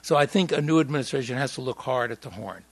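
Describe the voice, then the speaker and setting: A man, speaking, gives measured, hesitant remarks on a discussion panel, with frequent fillers and restarts. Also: medium pitch, animated, no room sound. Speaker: panelist on foreign policy.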